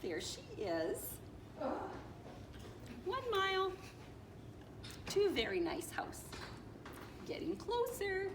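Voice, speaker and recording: A woman reading a children's picture book aloud expressively, with dramatic pauses and playful asides. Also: very high-pitched, wildly swooping pitch. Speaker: storybook reader, teacher. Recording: roomy echo, clear background noise.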